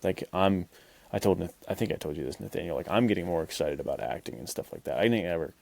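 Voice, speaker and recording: A man's voice: low voice, lively intonation, fast, creaky, darkish tone. A man hosting a podcast in casual chat, talking in a rambling, encouraging way peppered with "like". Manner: rambling, encouraging, heavy use of "like". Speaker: podcast host.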